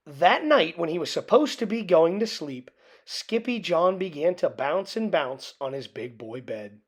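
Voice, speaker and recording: A woman reading a children's picture book aloud in an expressive, theatrical way with dramatic pauses. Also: fairly low pitch. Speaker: storybook reader.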